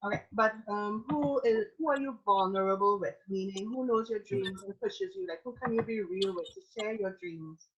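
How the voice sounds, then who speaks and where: A woman's voice: medium pitch, normal speed, hardly any pauses, slightly rough, highs cut off. A woman with a Trinidadian accent, interviewing a guest in a casual live-streamed chat, speaking with energy and enthusiasm and sometimes slipping into preaching.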